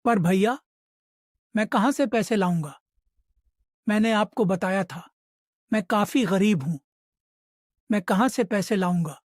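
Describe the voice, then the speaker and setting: A man's voice: high voice, unhurried, long pauses, no echo, clean studio sound. A man playing a humble, anxious woodcutter. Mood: worried. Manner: pleading, deferential. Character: poor, humble, anxious middle-aged village woodcutter father. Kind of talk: Hindi moral cartoon dialogue.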